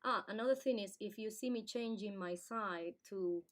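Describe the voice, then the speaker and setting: A woman, talking live into a webcam as podcast co-host. She speaks at a middle pitch, in a chatty, personal way. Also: moderate pace. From Dominican Republic. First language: Spanish. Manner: chatty, warm, personal storytelling with fillers. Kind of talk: casual live podcast chat. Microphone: webcam on a live video stream.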